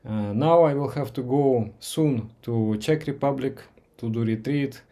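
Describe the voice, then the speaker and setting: A man whose first language is Russian, teaching meditation in a live session with viewers, speaking slowly and gently with many pauses, fillers and repeated words. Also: mid-range voice.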